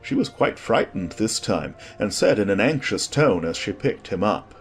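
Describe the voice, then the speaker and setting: A man's voice: fairly low pitch, big pitch swings, normal speed, soft background music. A man reading scripted storybook narration as the narrator of an audiobook.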